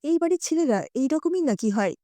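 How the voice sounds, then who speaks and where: A woman voicing a meek, resigned older mother-in-law, gentle and self-effacing, in an audio story. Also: sits high, lively intonation, brisk, no room sound. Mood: resigned, sorrowful. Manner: soft-hearted, self-effacing.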